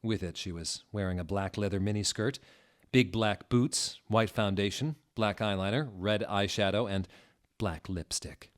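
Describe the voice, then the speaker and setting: Low-voiced man reading a story, mock-serious. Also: unhurried. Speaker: narrator. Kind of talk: comic dramatic reading of fan fiction.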